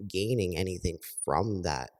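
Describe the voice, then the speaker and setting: A young man co-hosting a podcast in casual chat, calmly explaining his view in a measured way. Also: sits low. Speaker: podcast co-host.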